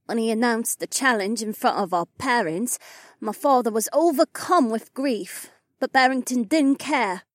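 A woman's voice: on the high side, moderate pace, no echo, studio quality, natural voice. A woman voicing a proud, wounded elf noblewoman and sword warrior in an audiobook. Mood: scornful. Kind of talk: audiobook character dialogue.

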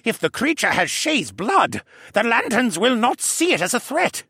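A man voicing a proud, fussy koala gentleman with a monocle, speaking in a prim, lecturing way. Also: extremely high pitch, wildly swooping pitch, moderate pace, breathy edge, no echo, clean studio sound, pitched above the performer's own voice, heavily acted. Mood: explaining.

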